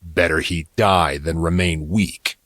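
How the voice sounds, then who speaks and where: A man voicing a wild, ferocious villain in an audiobook, with a cold, low, mocking and sadistic menace. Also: steady tone, slow pace, fairly bright tone, no room sound, studio quality. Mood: angry menace. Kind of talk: audiobook character acting.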